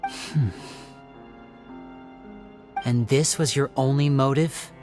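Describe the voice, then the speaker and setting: A woman voicing a composed, shrewd young investigator in a video game, speaking in a measured, probing, dry way. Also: very deep voice, steady tone, a little mellow, soft background music.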